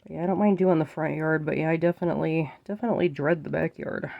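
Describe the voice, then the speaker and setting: A woman talks casually to her viewers while crafting, her nose stuffy and a little congested. Her voice has a noticeable gravelly fry. The recording is dry and close, with no room echo. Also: low-pitched, steady tone, fast, phone-quality sound. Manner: chatty, often addresses viewers as you guys.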